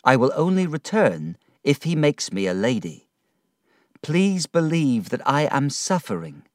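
A man voicing a remorseful young woman's farewell letter, earnest, pleading and measured, in a told story. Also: medium pitch, very animated, no echo, clean studio sound, voice pushed up. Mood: sorrowful, pleading.

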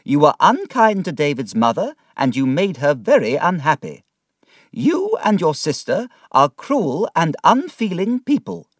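A man voicing a stern, decisive older woman who talks coldly and firmly, raising his voice high above his own. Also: very animated, a little slow, no room sound. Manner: cold, firm and commanding. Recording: dry, distant from the mic.

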